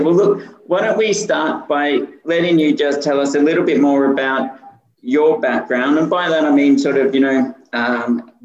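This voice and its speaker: A man's voice: medium pitch, echoey room, highs cut off. A man hosting a podcast, introducing a guest in an enthusiastic, upbeat way. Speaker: podcast host.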